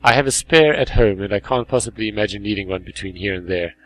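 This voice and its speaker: A man voicing a polite, sensitive robot from a game in a courteous, formal, gently earnest manner. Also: fairly low pitch, fast talker, close to an everyday voice, poor audio. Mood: obliging.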